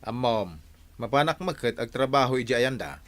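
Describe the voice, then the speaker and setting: A man's voice: medium pitch, expressive, normal speed, a bit bright, close to an everyday voice. A man acting in an Ilocano radio drama as a blustery, teasing village working man, with an Ilocano accent. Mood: surprised, eager.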